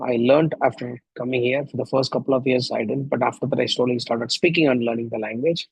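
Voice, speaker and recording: Gravelly Indian man musing aloud. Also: medium pitch, no echo, rough recording. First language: Tamil. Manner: reflective, rhetorical questions, frequent "right?". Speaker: podcast host. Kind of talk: solo opinion monologue.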